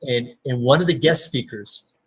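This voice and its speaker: A man's voice: medium pitch, moderate pace, fairly bright tone, slightly rough, distant mic, low-quality recording. A man co-hosting a live astronomy show, chatting casually and enthusiastically. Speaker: co-host. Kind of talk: casual live-stream chat.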